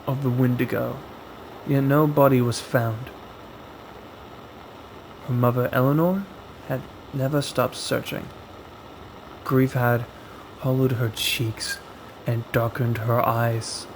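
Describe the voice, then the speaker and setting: A man narrates a horror story at an extremely slow pace, breaking his sentences into short, dramatic pieces with deliberate pauses. His pitch sits in a middle male range. A faint, steady hiss and hum runs behind his voice. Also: gravelly, rough recording.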